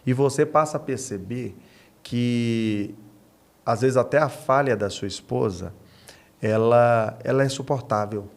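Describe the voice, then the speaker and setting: Man, Brazilian accent, pastor, conversational testimony, short phrases, medium pitch. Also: a little slow, creaky. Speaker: pastor, podcast guest.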